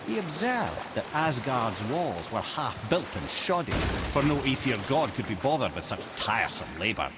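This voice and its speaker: An actor playing the witty talking head of a wise old storyteller in a video game, eloquent and theatrical with wry asides. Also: male voice, medium pitch, heavy background noise, natural voice.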